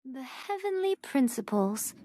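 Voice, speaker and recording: A woman's voice: sits high, sing-song, a little slow, mellow. An actress playing a shrewd woman who challenges a ruler, speaking in a pointed, articulate, theatrical way in a game cutscene. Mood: dismissive, pointed. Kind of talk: scripted video game cutscene acting.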